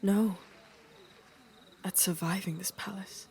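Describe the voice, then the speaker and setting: Casting: actress as a world-weary, middle-aged queen for fantasy audio drama. Gravelly fry, regal measured delivery, dry fond wit. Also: mid-range voice, steady tone, darkish tone, a little hiss or hum. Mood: weary, reflective. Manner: stately, measured, wry.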